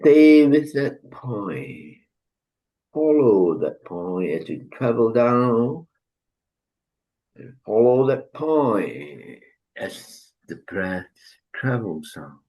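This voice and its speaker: A man leading a guided breathing meditation, giving calm instructions with long pauses. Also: medium pitch, highs cut off. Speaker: meditation teacher.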